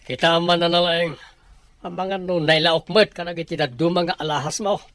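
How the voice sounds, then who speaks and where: A man's voice: fairly high pitch, fairly even intonation, moderate pace, fairly bright tone, no room sound. A man with a Filipino accent playing an indignant husband and father in an Ilocano audio drama. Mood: doubtful, troubled.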